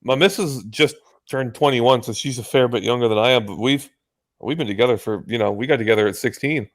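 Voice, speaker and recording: A man's voice: medium pitch, fairly quick. Laughing, swearing young American man. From United States. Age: early 20s. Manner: animated storyteller, laughs and swears a lot. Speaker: guest. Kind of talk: casual chat on a video call.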